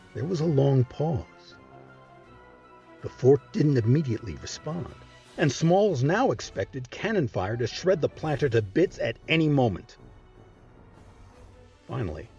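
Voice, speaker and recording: Guy narrating history documentary, soft dark voice, bit muffled, some music under it. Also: medium pitch. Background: background music.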